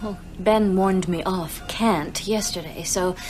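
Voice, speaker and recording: An actress plays a sceptical young woman in a radio play. She sounds educated and soft-spoken, with a mid-range pitch. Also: fairly even intonation, slow, lightly acted. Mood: wry doubtful. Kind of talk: radio drama acting.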